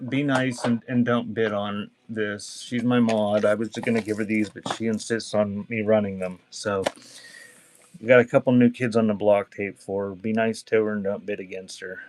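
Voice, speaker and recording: A low-pitched man runs a casual live sale, reading out items and chatting with buyers. He rambles a little and teases as he goes. There is some faint background noise with clicks. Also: steady tone, normal speed, a little mellow. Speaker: live-stream auction seller. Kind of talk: casual live selling chat, reading out cassette titles. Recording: clearly noisy background with clicks.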